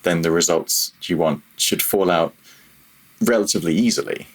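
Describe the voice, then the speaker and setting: A man with a very deep, husky voice talks as a podcast guest. He is reflective and casual, feeling his way through halting phrases with a modest, self-deprecating touch. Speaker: podcast guest, freelance audio programmer. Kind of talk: reflective casual interview talk.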